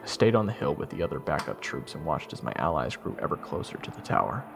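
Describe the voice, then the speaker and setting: A man's voice: rumbling low, very animated, quick pace, vocal fry, full character voice. Man as wry soldier narrator over music. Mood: wry, watchful. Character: wry watchful soldier telling his story. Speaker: narrator of audio roleplay. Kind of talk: first-person scripted narration.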